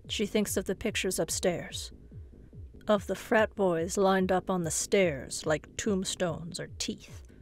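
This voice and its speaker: A woman narrating a scripted horror story in a suspenseful manner, often hushed, with dramatic pauses. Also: fairly low pitch. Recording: music bed behind the voice.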